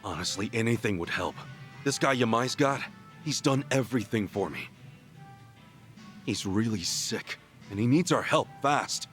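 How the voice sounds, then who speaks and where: An actor voicing a brash, loyal, hot-blooded hero in an action video game, talking bluntly and casually. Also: male voice, fairly low pitch, animated, measured pace, long pauses, a bit bright, faint music behind. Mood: earnest pleading.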